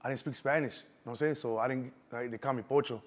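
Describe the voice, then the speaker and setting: A man in his thirties with a Chicago accent talks fast and loose as an interview guest. His voice sits at a middle pitch, and he keeps dropping in "you know what I'm saying" as he goes. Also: steady tone. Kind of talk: casual street interview.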